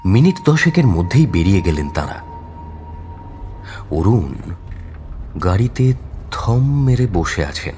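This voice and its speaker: A man's voice: low voice, very animated, slow, long pauses, a little mellow, slight background noise. A man narrating a crime audio story in a dramatic, scripted style.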